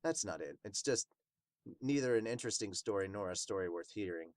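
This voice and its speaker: A man's voice: on the low side, lively intonation, brisk, no room sound, pitched below the performer's own voice, close to an everyday voice. A man voicing a reserved former demon commander in a manga dub, speaking in an understated, serious way. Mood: reluctant. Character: male captain, laconic, reserved older brother and former commander.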